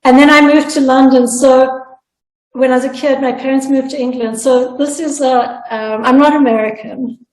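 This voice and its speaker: A woman's voice: sits high, fairly even intonation, normal speed, darkish tone, roomy sound, low-quality recording. A woman from South Africa, speaking earnestly as a panelist and sharing her own story, often restarting her sentences. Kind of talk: personal storytelling in a panel discussion.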